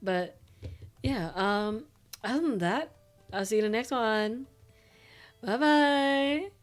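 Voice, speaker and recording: A woman's voice: a little high, slow, soft background music. A young woman with an Australian accent, chatting loosely with viewers on a stream, full of fillers and the odd swear word. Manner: loose and rambling, with casual swearing and many fillers. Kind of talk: casual stream chat.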